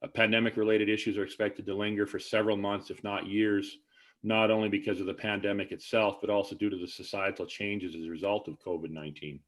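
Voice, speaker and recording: Low-voiced man hosting a webinar. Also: flat delivery. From Canada. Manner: halting, many fillers and restarts. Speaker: webinar host. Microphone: video call.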